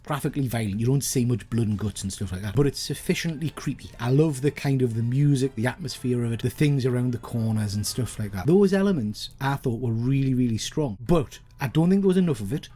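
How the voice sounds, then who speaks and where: A man's voice: mid-range voice, lively intonation, a little fast, few pauses, warm tone, breathy edge. A man co-hosting a horror movie review show, talking informally and conversationally to his co-host and giving his opinions. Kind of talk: casual, opinionated film review chat.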